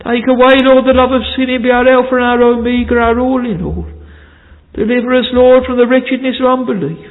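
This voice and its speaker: A man's voice: very high-pitched, fairly even intonation, a little fast. A man leading a fervent, pleading congregational prayer in old-fashioned language in a church. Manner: pleading, fervent, with repeated interjections. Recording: tinny, phone-like sound in a reverberant hall.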